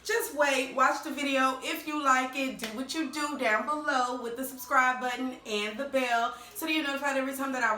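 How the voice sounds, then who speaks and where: A woman with a bright, fairly high voice talks her viewers through a reality show recap, slightly hoarse from a cold. She chats loosely and leans on fillers like "you know" and "okay". Her voice bounces around a roomy, echoey space. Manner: addresses viewers directly as "y'all". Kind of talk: casual chatty recap vlog.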